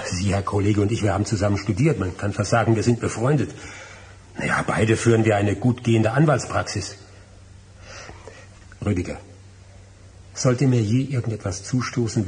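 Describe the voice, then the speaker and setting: Man playing proper middle-aged lawyer in a radio play, lowish voice, careful and polite, noisy behind. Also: darkish tone, close to an everyday voice. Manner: formal, courteous, measured, slightly halting. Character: formal, cautious middle-aged lawyer, trying to stay composed. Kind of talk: 1990s German radio drama acting.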